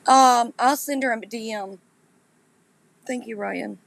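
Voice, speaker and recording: Woman, podcast host, congested and nasal, raspy gritty voice, easygoing chat with listeners. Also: fairly high pitch, takes it slowly, no room sound. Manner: chatty, laughs at herself, talks straight to listeners. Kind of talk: casual live chat with listeners.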